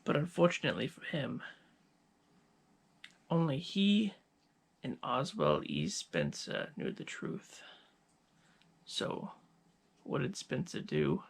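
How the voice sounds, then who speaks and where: A man voicing a smug, menacing scientist villain in an ASMR roleplay, gravelly and husky, dropping toward whispers, with theatrical taunting pauses. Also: fairly high pitch, wildly swooping pitch, exaggerated voice. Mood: coldly threatening.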